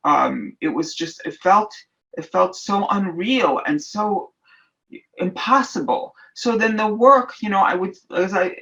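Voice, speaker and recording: A middle-aged woman artist answering interview questions thoughtfully, pausing often and searching for words. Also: mid-range voice, a bit bright, lo-fi sound. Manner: thoughtful, searching for words with many fillers and restarts. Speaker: artist and illustrator guest.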